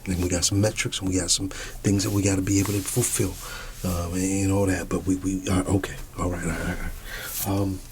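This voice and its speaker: Man, deep voice, soft ASMR teacher roleplay, often whispery, folksy repetition, noticeable background noise. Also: flat delivery, a little fast. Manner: repeats short phrases, folksy and reassuring. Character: quirky, easygoing male schoolteacher addressing his class. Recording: clearly audible background noise.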